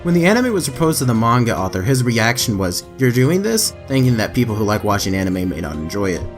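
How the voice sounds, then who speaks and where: A man's voice: mid-range voice, very animated, quick pace. A man reading anime trivia as a scripted voice-over, with emphatic lifts in his delivery. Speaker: anime trivia video narrator. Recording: faint background music under voice-over.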